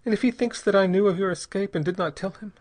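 A man's voice: high-pitched, darkish tone, pitched above the performer's own voice. A man voicing a desperate young mother who pleads and chokes on her words. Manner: pleading, halting, choking on words. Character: desperate young mother, Spanish-speaking woman, panicked.

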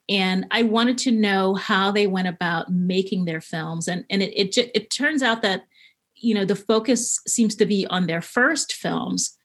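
A woman's voice: medium pitch, slightly rough. A middle-aged woman from Haiti, a podcaster being interviewed, talking conversationally and with enthusiasm as she shares anecdotes.

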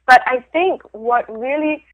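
A woman's voice: high-pitched, a little slow, no room sound. A middle-aged woman from Denmark, talking reflectively in a casual interview, laughing often and restarting her sentences. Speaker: interview guest, photographer of women. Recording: tinny phone line.

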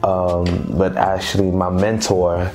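A man's voice: low-pitched, flat delivery, slow pace, darkish tone, faint music behind. A man from Haiti, a native Creole speaker, chatting casually as an interview guest in an easygoing, slangy way, repeating phrases for emphasis. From Haiti, later Boston.

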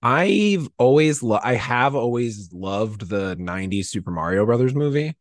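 A man chatting casually in an excitable, effusive way. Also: mid-range voice, moderate pace, dry recording, studio quality. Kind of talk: casual chat.

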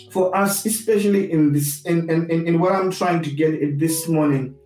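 A man from Zimbabwe with a Zimbabwean accent gives a reflective, sermon-like talk, deliberately restating his points for emphasis. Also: high voice, a little mellow. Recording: roomy echo, distant mic, music behind.

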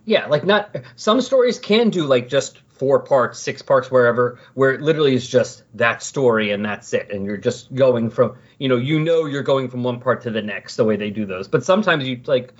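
A man's voice: mid-range voice, animated, moderate pace, few pauses, breathy edge, dull audio. A man hosting a podcast in casual talk, rambling and correcting himself as he goes. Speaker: podcast host.

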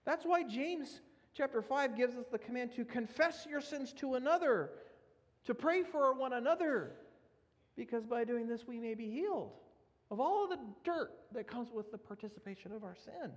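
A man's voice: very high voice, expressive, moderate pace. A man preaching a sermon, earnest and emphatic as he works through scripture readings. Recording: long reverb of a large hall.

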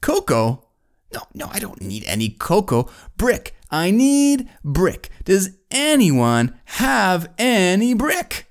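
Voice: medium pitch, huge pitch swings, takes it slowly, voice pushed up, full character voice. A storyteller voicing an exasperated male builder who calls down from a height and insistently repeats himself. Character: exasperated male tower builder yelling down for materials.

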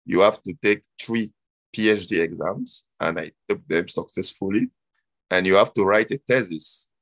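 A man's voice: sits low, moderate pace, a bit bright, no room sound. A man, telling his story as an interview guest, hesitant with many fillers and restarts. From Cameroon.

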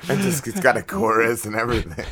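A man's voice: medium pitch, very animated, quick pace, a crowd in the background. A man hosting a comedy radio show with casual, joking banter.

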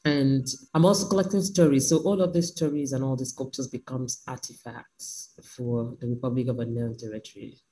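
A woman artist speaking by phone in an interview, reflective and sometimes joking, with lively emphasis. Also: a bass voice, moderate pace, hardly any pauses, rough recording. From Nigeria.

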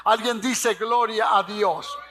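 A male preacher delivers a fervent sermon in a very high, forceful voice with a heavy rasp. He exhorts and repeats his phrases for emphasis, prompting the congregation to answer him. His delivery is slow and weighty. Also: fairly even intonation, faint music behind.

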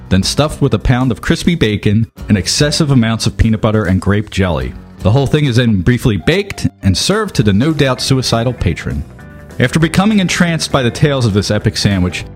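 A man reading scripted comic narration as a podcast narrator. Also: mid-range voice.

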